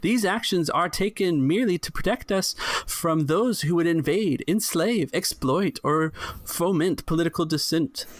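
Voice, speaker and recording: A man's voice: a little high, moderate pace, no echo, pitched above the performer's own voice, lightly acted. A man voicing a pompous, self-important imperial governor in an oratorical manner for a tabletop game. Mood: pompous, grandiose. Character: pompous imperial governor giving a grandiose auction speech.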